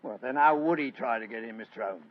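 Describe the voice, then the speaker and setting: A man's voice: mid-range voice, fast, close to an everyday voice. A man playing Inspector Lestrade, a blunt, practical police inspector, in a vintage radio drama. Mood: gruff. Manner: blunt, gruff, matter-of-fact. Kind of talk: 1940s radio acting. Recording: dull old radio recording.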